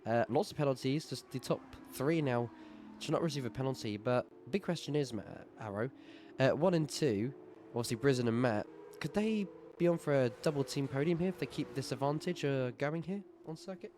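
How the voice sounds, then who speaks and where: A man with a sore throat giving brisk live play-by-play commentary on a sim race, rising in excitement at overtakes. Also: mid-range voice, a little hiss or hum.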